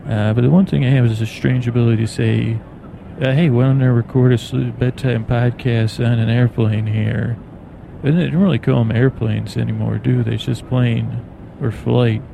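An American man hosting a bedtime podcast, rambling in a halting, meandering, soothing way. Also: medium pitch. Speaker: podcast host. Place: aboard an airplane in flight. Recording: clear engine and cabin noise. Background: steady plane noise.

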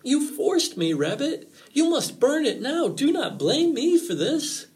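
Sly folktale fox, played by a man: raised, very animated pitch, feigned concern, drawn-out pleading. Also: exaggerated voice. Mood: urgent, grave. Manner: theatrical, drawn-out pleading and warnings. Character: sly male fox feigning worry, mock-pleading, warning.

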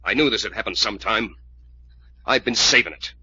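Bitter mob leader, tough-guy menace, forceful rough voice, old radio melodrama. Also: lowish voice, fairly quick, full character voice. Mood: menacing. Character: bitter, possessive male mob leader threatening a woman. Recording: muffled vintage radio recording.

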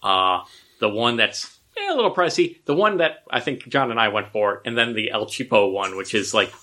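Podcast host, male, bright and somewhat low voice, eager rambling chat. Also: sing-song, moderate pace. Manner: enthusiastic, rambling with restarts. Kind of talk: casual chat.

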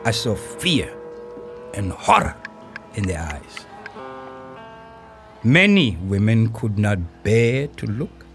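An actor playing a proud circus troupe director, recounting a high-wire act in an audio play with suspenseful, emphatic pauses. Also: male voice, sing-song, soft background music, exaggerated voice. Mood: suspenseful, grave. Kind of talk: dramatic first-person storytelling in an audio play.

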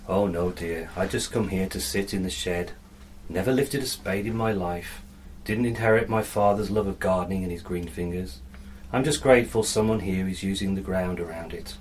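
Woman voicing a forlorn, low-pitched elderly man. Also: normal speed, a little mellow, slight background noise, poor audio. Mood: gentle, grateful. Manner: wistful, confiding, old-fashioned. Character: forlorn, regretful elderly man in his shed.

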